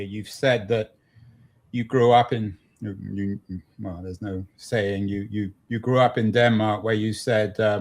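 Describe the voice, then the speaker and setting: A man hosting an interview conversationally, with probing questions and halting, repetitive phrasing. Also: fairly low pitch, darkish tone. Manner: halting, probing, repeats himself. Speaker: interview host.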